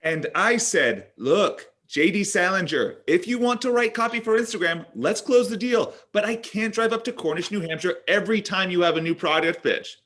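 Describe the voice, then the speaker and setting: A man's voice: sits high, expressive, normal speed, few pauses, fairly bright tone. A man playing a brash, name-dropping Hollywood wheeler-dealer in a comedy table read, punchy and over-the-top. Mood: boastful.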